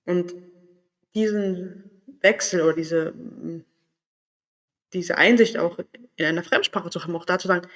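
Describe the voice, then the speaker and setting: A man talking candidly in a personal vlog, thinking aloud and searching for words as he goes. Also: high voice. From Berlin area, Germany. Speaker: vlogger. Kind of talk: personal reflective monologue.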